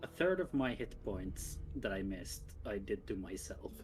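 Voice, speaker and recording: A man's voice: on the low side, big pitch swings, unhurried, a little mellow, faint music behind, rough recording. A man chatting casually as a player after a tabletop game. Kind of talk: casual chat.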